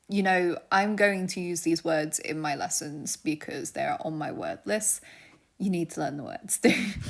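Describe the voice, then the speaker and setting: A young woman casually teaching a vocabulary lesson, chatty and laughing often, dropping to a soft near-whisper when she gives word meanings. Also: fairly low pitch, vocal fry. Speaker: language teacher.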